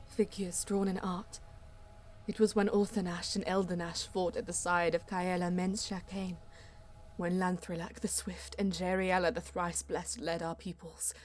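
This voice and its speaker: A woman playing a solemn, proud female Eldar bonesinger in a sci-fi audio drama, narrating in a measured, hushed, portentous way. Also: lowish voice, lightly acted. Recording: music under the voice. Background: music.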